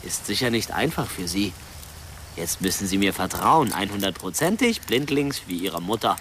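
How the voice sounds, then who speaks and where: A man's voice: fairly low pitch, sing-song, normal speed, a bit bright, slight background noise. A man playing a hesitant, flippant young student drone pilot in a German radio drama, muttering and slangy. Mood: breezy, reassuring. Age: young adult.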